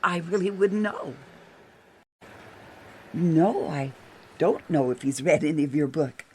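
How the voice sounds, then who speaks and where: An actress playing an earnest, easily shaken detective agency secretary with an American accent in a radio drama. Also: female voice, sits low, expressive, slow pace, a little mellow, slight background noise. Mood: businesslike, earnest.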